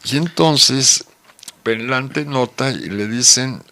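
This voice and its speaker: A man's voice: medium pitch, slow pace, pushed and bright, dry recording. A middle-aged man with a Mexican accent telling anecdotes in a casual radio chat, with colloquial asides. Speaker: radio guest.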